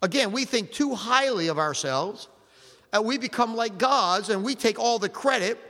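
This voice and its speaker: An older man preaches with fervor in a high, lifted voice, leaning hard on each verse and slowing to stress the scripture. His words ring out with a long echo through a large hall. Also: expressive, pushed and bright. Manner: fervent preaching, slows and stresses scripture readings, asks the congregation questions. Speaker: pastor. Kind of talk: sermon. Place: church sanctuary.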